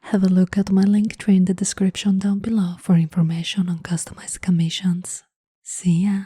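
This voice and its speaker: A woman who creates audio roleplays, giving a short channel announcement in a hushed voice. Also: mid-range voice, level tone, normal speed, breathy edge.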